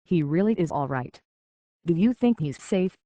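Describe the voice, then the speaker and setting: A man's voice: a little high, animated, normal speed, mellow, no room sound, lo-fi sound. An actor playing a plain-spoken, folksy island barkeep in a video game, blunt and concerned for his own people. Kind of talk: video game character dialogue.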